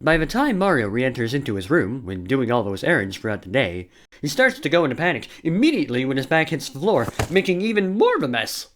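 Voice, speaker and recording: A woman's voice: extremely low pitch, sing-song, brisk, a little hiss or hum. A woman reading scripted narration for an audio play in a descriptive storytelling manner.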